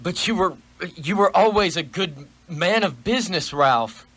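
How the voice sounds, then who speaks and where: A man's voice: sits high, lively intonation, measured pace, sharp tone, no echo, exaggerated voice. A man playing a frightened, pleading miser in a radio play, theatrical and prone to stammering. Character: frightened, pleading miserly businessman facing a ghost.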